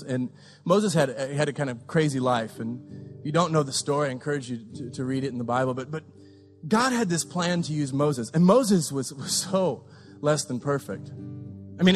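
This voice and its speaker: A man pastor giving a sermon, preaching with passion, repeating phrases and rising to shouts. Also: a little high. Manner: impassioned, repetitive preaching with shouted peaks.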